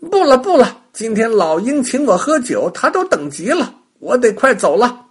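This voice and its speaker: A shrill, sly little rabbit. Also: male voice, moderate pace, slightly rough, full character voice. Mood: eager. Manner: shrill, excited calling.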